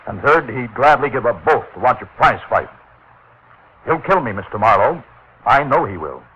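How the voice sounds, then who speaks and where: A man's voice: mid-range voice, animated, moderate pace, background noise, close to an everyday voice. An American actor playing an anxious, hesitant businessman who fears for his life, in 1940s radio drama style. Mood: anxious, pleading. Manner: hesitant, earnest. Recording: muffled, tinny 1940s radio recording.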